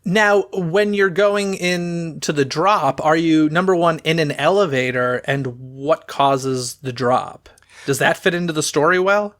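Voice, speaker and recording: A man's voice: on the high side, a little slow, fairly bright tone. A man hosting a podcast, asking a guest short, curious questions. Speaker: podcast host. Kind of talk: interviewer questions.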